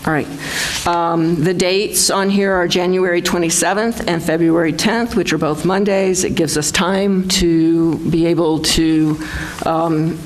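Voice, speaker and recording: Hesitant chair at a meeting. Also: female voice, low voice, a little hiss or hum, low-quality recording. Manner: hesitant, many fillers. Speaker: select board chair. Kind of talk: public meeting discussion.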